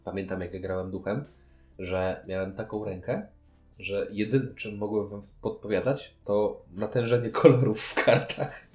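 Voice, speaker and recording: A man's voice: lowish voice, fairly even intonation, moderate pace, darkish tone, roomy sound, soft background music. A man chatting casually as co-host of a board game review show. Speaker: podcast co-host.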